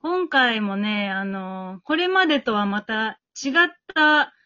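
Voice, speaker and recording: A woman's voice: fairly high pitch, lively intonation, slow pace, bright, forceful tone. A woman hosting a casual group talk, chatty and turning to others with questions. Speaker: host and MC of an amateur radio drama after-talk. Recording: dull lo-fi audio.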